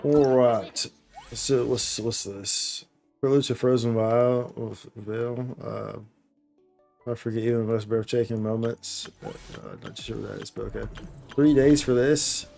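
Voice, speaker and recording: A man's voice: medium pitch, fairly even intonation, a little slow, creaky, a little mellow, soft background music. A man chatting casually on a live gaming stream, slangy and playful, rambling and often repeating his phrases. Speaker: game streamer, host.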